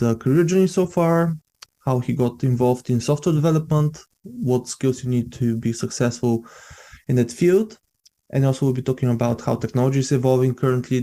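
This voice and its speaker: Male interviewer. Warm, mellow tone, conversational podcast hosting. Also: mid-range voice.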